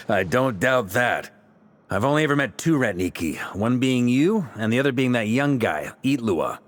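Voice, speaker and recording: A man's voice: medium pitch, expressive, a little slow, natural voice. A man playing a genial, teasing, charismatic knight commander in a video game, with a jovial, theatrical manner. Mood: amused, teasing.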